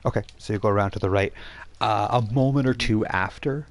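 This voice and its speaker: A man working as game master, improvising narration for a tabletop role-playing game in a halting, conversational way. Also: on the low side. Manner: halting, conversational, thinking aloud.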